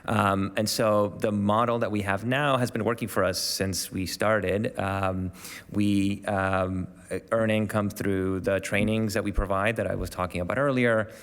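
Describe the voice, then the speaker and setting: A man's voice: lowish voice, fairly even intonation, a little slow, fairly bright tone. A man from Venezuela answering questions as a panel guest, hesitant, with many fillers and restarts. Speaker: panel guest, media organisation staff. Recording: long hall reverb.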